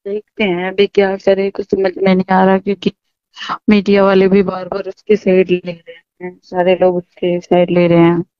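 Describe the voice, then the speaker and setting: A woman's voice: mid-range voice, monotone, moderate pace, clear, resonant tone, no room sound. A woman talking casually in a private phone conversation, heard on a dull lo-fi call recording.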